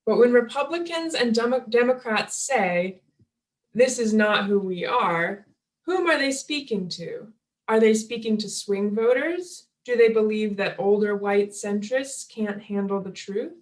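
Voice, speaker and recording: Woman reading an essay aloud, average pitch, sounds a bit far from the mic. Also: animated, measured pace. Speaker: reader at a table reading. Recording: distant from the microphone.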